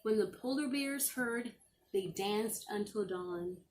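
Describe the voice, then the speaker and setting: A middle-aged woman reads a storybook aloud for young children. Her delivery is slow and deliberate, with expressive, drawn-out phrases at a medium pitch. There is some faint noise in the recording. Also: rough recording. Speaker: teacher reading aloud.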